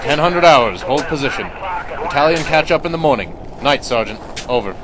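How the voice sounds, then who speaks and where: A man's voice: a little high, expressive, normal speed, crowd noise, close to an everyday voice. A man playing an earnest Marine soldier passing on field reports in a wartime radio drama. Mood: clipped, calm. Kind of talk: radio drama battlefield acting. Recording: battle noise loud over the voice.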